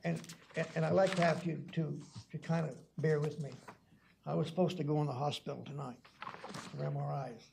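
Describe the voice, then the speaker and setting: Stuttering council guy arguing in a meeting, kinda mellow gravelly voice, some noise behind him. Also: a little high, fairly even intonation. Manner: halting, repetitive, blunt and argumentative. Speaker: council member. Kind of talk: confrontational questioning at a public council meeting. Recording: noisy public meeting room recording.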